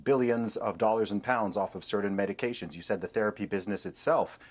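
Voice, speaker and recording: A man with a low, grounded voice speaks as a TV debate guest. He works through his case step by step, stacking one point onto the next in an even, measured delivery. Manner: steady, builds points in lists. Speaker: guest commentator. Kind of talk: measured on-air commentary.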